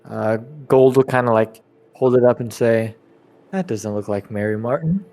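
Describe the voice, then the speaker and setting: A man's voice: medium pitch, moderate pace, warm tone. A man talks through his character's moves at a game table. His voice sits in the middle range, and his delivery is casual, hesitant and laid-back. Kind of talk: casual role-play, narrating his character in third person.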